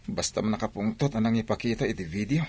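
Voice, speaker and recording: A man's voice: on the low side, a little mellow, a little hiss or hum, close to an everyday voice. A man with an Ilocano accent playing a troubled, hesitant husband in a radio drama.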